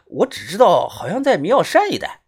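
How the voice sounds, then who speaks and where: A man voicing a panicked, foul-mouthed middle-aged fugitive with a Northeastern accent who stammers, pleads and shouts. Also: high-pitched, wildly swooping pitch, fairly quick, husky, pitched above the performer's own voice, full character voice. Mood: stammering, wheedling. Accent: Northeastern Chinese.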